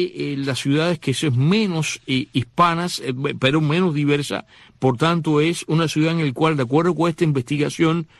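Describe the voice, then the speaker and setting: Guy on the radio, talks to you like a friend, lots of ehs, voice a bit high, dead dry mic. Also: dry recording. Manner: conversational, many fillers and restarts, addresses listener directly. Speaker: radio host. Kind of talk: radio talk show monologue.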